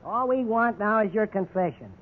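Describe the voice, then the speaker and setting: An actor playing a gruff, hard-nosed police officer who browbeats people in a 1950s radio drama. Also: male voice, sits high, animated, moderate pace. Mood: browbeating. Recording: tinny, noisy 1950s radio recording.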